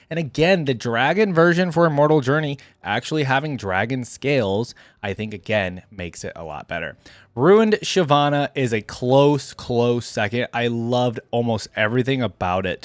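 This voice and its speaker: A man's voice: medium pitch, no echo. A man giving casual reaction commentary as a video host, musing aloud in a rambling way.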